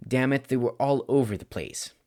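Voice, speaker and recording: A man's voice: mid-range voice, moderate pace, a little mellow. A man reading a scripted story as an audiobook narrator. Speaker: podfic narrator.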